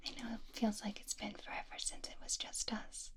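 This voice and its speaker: A woman voicing a gentle, bookish young woman who is doting and kind, speaking in a breathy whisper for an ASMR roleplay, soft, tender and reassuring. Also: medium pitch, monotone, normal speed.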